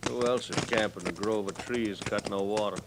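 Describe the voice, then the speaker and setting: Actor as low, menacing Western outlaw. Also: male voice, fairly even intonation, normal speed, natural voice. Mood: sly, casual. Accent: rural American Western. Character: menacing Western outlaw, mock-friendly bully. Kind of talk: old-time radio western acting. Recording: noisy old radio recording.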